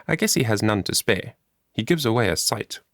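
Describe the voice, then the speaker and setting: A man's voice: on the low side, expressive, brisk, a bit bright, dry recording, studio quality. Peevish young servant girl, played by a man, brusque, dismissive storybook character. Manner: brusque, grudging.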